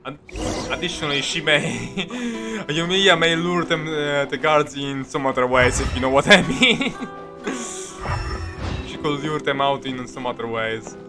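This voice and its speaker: A man's voice: a little high, animated, unhurried. A man streaming a game with casual live commentary, rambling, giggly and often repeating himself. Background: faint game music.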